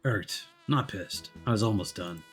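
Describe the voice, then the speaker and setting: A world-weary detective, acted out by a man, speaks low with a gruff, husky edge. He is terse and deadpan, keeping his guard up in a game story, with music underneath. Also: a little slow, full character voice. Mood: grumbling.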